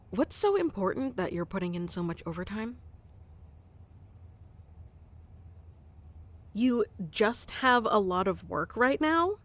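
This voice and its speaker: A woman playing a stubborn caring boss. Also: fairly high pitch. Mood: stern, probing. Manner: stern yet caring, soft intimate delivery. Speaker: ASMR roleplay performer. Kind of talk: ASMR audio roleplay.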